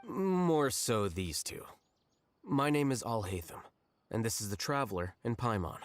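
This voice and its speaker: An actor playing an aloof, rational young scholar in a video game, formal, measured and blunt. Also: male voice, medium pitch, lively intonation, no echo, close to an everyday voice. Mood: composed. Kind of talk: video game character acting.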